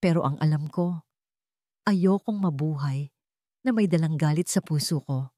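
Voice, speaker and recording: A woman voicing a hurt but tender, forgiving wife in a romance audio story, earnest and measured. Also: sits low, mellow, no room sound, studio quality.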